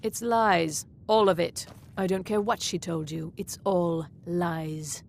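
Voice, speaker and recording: A woman's voice: fairly low pitch, steady tone, takes it slowly, gravelly, slight background noise. An actress playing a vain, defensive female wizard in a fantasy video game, haughty and insistent. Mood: indignant, vain.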